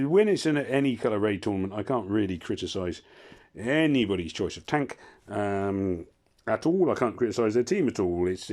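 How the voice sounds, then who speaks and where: Brief: hoarse man, lowish voice, rambling gaming commentary with dry asides. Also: darkish tone. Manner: rambling, self-interrupting, wry asides. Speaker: gaming channel host. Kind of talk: casual gameplay commentary.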